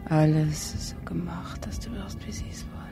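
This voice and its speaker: An actress playing a disillusioned, provocative young woman in a radio play, deliberate and at times dropping into a whisper. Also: on the low side, over music, lightly acted. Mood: bitter, disillusioned.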